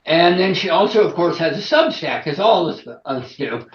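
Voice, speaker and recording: A man's voice: fairly high pitch, animated, normal speed, echoey room, muffled recording. A man interviewing a guest, asking questions in an informal, colloquial way.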